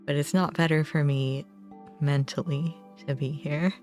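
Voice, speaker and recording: A young adult woman chatting casually on a livestream, musing informally in short, halting phrases. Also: low voice, steady tone, darkish tone. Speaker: game streamer. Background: background music.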